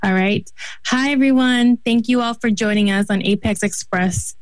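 An upbeat woman hosts a radio talk show in an encouraging, friendly way. Her voice sits at an ordinary pitch for a woman. The sound is close and dry, with almost no room around it. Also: no echo, poor audio. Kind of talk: hosting a group conversation.

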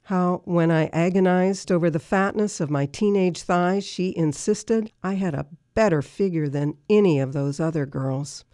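An older woman, around her sixties, reading her own personal remembrance aloud in a measured, list-like recitation. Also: fairly low pitch, dark tone, no echo, clean studio sound. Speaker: listener contributor reading own writing.

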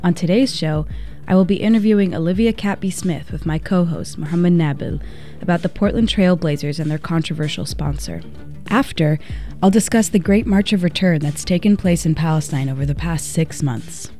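A woman hosting a community radio show, reading a measured introduction and asking questions. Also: fairly low pitch, dark tone, faint music behind. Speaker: radio host.

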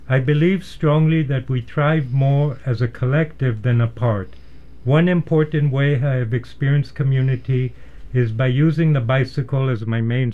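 A man presents a radio show in a formal, earnest manner. His voice sits at a medium pitch and he speaks in measured, prepared phrases. The studio sound is a little dull and lo-fi. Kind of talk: measured scripted introduction and interviewing. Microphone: studio microphone.

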